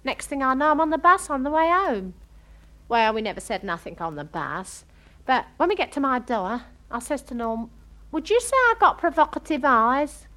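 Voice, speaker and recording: A woman's voice: high voice, big pitch swings, normal speed, sharp tone, full character voice. An actress playing a chatty, romantic young English woman with a working-class accent, gossiping in a comic monologue. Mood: wry, animated. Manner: gossipy, dramatic storytelling, quoting others.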